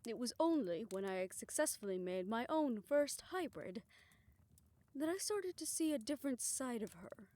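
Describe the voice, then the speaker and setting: Woman acting hushed, remorseful female scientist, higher-voiced. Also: animated, moderate pace, lightly acted. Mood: guilty, bitter. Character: remorseful female scientist haunted by her past experiments. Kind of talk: soft, intimate audio roleplay acting.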